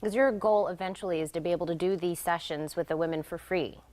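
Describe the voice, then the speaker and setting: A woman hosting a morning TV show, with a polished, smooth presenter delivery. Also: on the low side, fast, a little mellow. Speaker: TV host. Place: TV studio.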